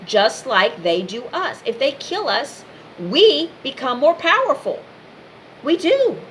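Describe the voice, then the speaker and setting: Slow, emphatic high-voiced woman. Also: very animated, slight background noise, rough recording. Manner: emphatic, repetitive short declarative phrases. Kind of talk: impassioned informal monologue addressed to the viewer.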